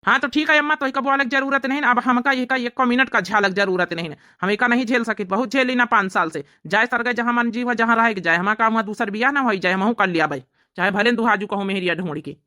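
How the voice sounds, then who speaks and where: A blunt, hot-headed village husband from a cartoon comedy voiced by a woman, with an Awadhi accent. The voice is fairly high and piercing, speaking quickly as he gripes about being fed up. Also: sharp tone. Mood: angry, dismissive. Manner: blunt, repetitive griping. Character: fed-up, hot-tempered village husband, younger brother-in-law. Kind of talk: animated family comedy dialogue.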